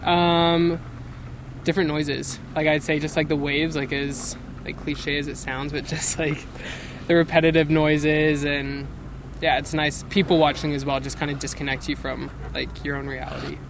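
A young man from Hong Kong, a student, answering a beach interview in a relaxed way with lots of "like" fillers. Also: a little high, normal speed, vocal fry. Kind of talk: casual street interview. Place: outdoor beach. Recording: outdoor noise behind the voice.

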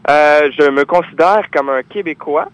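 A man's voice: a little high, moderate pace, clean tone. A young man, born in France, answering interview questions as a singer-songwriter, with many fillers and restarts. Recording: dull lo-fi recording.

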